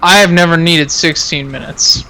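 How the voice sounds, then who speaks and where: A man's voice: a little high, steady tone, moderate pace, crowd noise, rough recording. A man chatting casually on a game stream, rambling and often repeating himself. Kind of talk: casual gaming chat.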